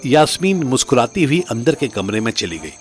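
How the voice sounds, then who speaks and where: A man's voice: medium pitch, big pitch swings, a little fast, soft background music. A man narrating a scripted story in a dramatic storytelling style.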